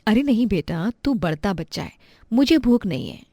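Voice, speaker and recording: A woman's voice: mid-range voice, very animated, moderate pace, warm tone, no echo. Woman acting a loving, self-sacrificing poor mother. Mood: reassuring. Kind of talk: storybook character acting.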